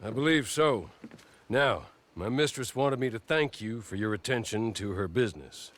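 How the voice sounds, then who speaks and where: An actor playing a formal, polite go-between serving a mysterious mistress in a western video game, speaking with arch courtesy. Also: male voice, medium pitch, expressive, normal speed, a bit bright, natural voice.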